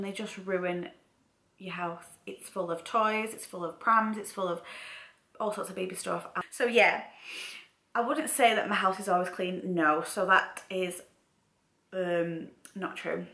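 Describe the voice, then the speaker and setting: A woman's voice: medium pitch, steady tone, a little slow, sharp tone. A young woman with a Mancunian accent tinged with Scouse, chatting casually and informally in a vlog, rambling and repeating herself for emphasis. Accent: Mancunian with some Scouse. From Manchester, England. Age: young adult. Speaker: vlogger. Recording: roomy, echoey home room.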